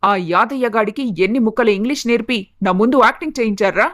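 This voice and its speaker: Indignant, high-pitched, scolding mother-in-law acted by a woman. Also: quick pace, a bit bright, close to an everyday voice. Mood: bitter, outraged. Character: status-obsessed, bitter, accusing middle-aged mother-in-law. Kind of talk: melodramatic animated family story acting.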